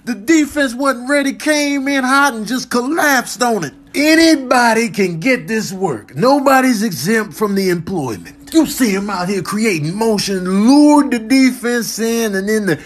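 Male comedian as sports commentator, extremely high voice, hyped, comedic video voiceover. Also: lively intonation, unhurried, few pauses, heavily acted.

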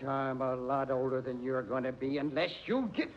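An actor playing a gruff, impatient older police lieutenant in a 1940s radio mystery, bossy and exasperated. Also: male voice, mid-range voice, normal speed, lightly acted. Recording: muffled 1940s radio recording, faint noise.

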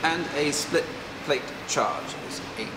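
An actor in his forties playing a formal, theatrical waiter in a comedy sketch, reciting grandly with pompous, deliberate delivery. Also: fairly high pitch. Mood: grandly dramatic. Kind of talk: dramatic reading in a comedy sketch. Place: large restaurant dining room by seaside windows. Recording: roomy, noisy restaurant, distant mic.

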